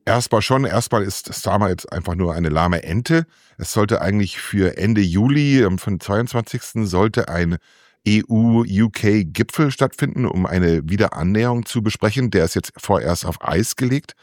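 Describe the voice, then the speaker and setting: A man's voice: fairly low pitch, animated, moderate pace, dry recording, studio quality. A man giving unscripted political commentary as a correspondent answering interview questions. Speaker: political correspondent reporting from London.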